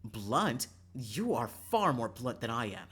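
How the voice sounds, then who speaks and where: A man's voice: mid-range voice, animated, a little fast, fairly bright tone. A man voicing a blunt, guarded young princess in a visual novel game, with a curt, cold and indignant manner.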